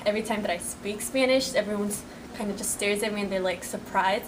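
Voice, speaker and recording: A young woman talks casually and plainly about her experiences, as an interviewee. She is recorded from some distance, with clear background noise. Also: medium pitch, brisk. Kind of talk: casual testimony.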